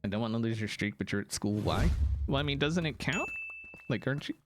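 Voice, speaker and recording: A man hosting a game live stream, chatting casually and thanking viewers, with a gravelly morning voice. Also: mid-range voice, lively intonation, soft background music. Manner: playful, jokey, thanks donors rapidly. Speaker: livestream host.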